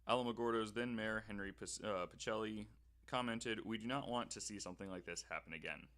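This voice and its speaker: A man with a fairly low voice reads his notes aloud on a chatty podcast. He trips over words and corrects himself as he goes, then picks the reading back up. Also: brisk, fairly bright tone. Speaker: podcast host reading research.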